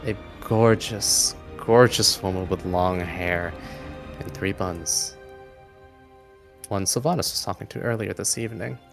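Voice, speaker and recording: A man with a low, gravelly voice tells a tabletop game story as its game master. He speaks very slowly and dramatically, letting phrases hang for suspense, with music underneath. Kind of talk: dramatic scripted-sounding narration of a tabletop game.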